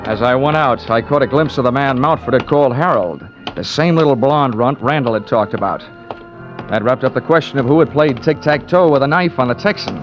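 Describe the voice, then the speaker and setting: An actor playing an unflappable, wisecracking freelance investigator in an old-time radio drama, dry and offhand. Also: male voice, medium pitch, brisk, music in the background, natural voice. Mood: narrating. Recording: muffled, noisy old radio recording.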